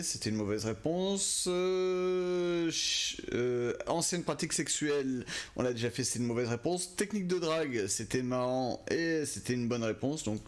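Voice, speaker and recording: A man streaming a game, casually thinking aloud as he weighs each option. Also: mid-range voice. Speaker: let's play streamer. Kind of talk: casual gameplay commentary.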